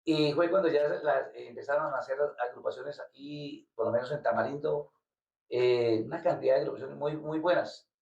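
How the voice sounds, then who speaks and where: A man from Colombia talks through an interview in a halting, repetitive way, circling back on his words. His voice has a gravelly edge. He sits well back from the mic in an echoey room, and the sound is dull and lo-fi. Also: medium pitch, darkish tone. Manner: halting, repeats words while searching for them. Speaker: guest musician. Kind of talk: casual interview. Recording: roomy, distant, dull lo-fi sound.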